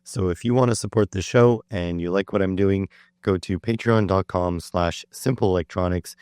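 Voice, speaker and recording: A middle-aged man with a low, smooth voice that is slightly hoarse today hosts a solo podcast. He explains things in a rambling way, full of uh and um, and he sounds close and dry with no room echo. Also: normal speed, darkish tone. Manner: rambling, with frequent uh and um restarts. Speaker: podcast host. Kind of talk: solo educational podcast talk.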